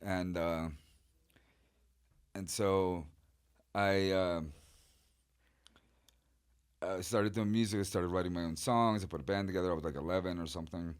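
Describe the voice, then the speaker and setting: A middle-aged man from Chile, a guest artist telling his life story in a relaxed, rambling way with many fillers. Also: sits low, measured pace, pauses often, smooth. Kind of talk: casual interview storytelling.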